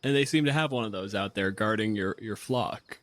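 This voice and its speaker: Lower-pitched man narrating a game. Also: fairly quick, fairly bright tone, no echo. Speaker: game master. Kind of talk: casual improvised tabletop game narration.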